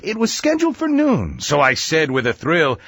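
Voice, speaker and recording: A man's voice: fairly high pitch, wildly swooping pitch, normal speed, fairly bright tone, breathy edge, dry recording, studio quality. A man narrating a rhyming children's story in a theatrical, sing-song verse delivery.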